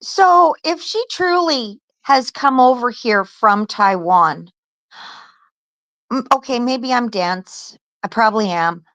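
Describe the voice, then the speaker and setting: A woman co-host thinks out loud in a casual reaction chat, raising questions as she goes. Her voice sits slightly high, and she talks slowly in a dry, close sound. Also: lively intonation, no echo. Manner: questioning, musing.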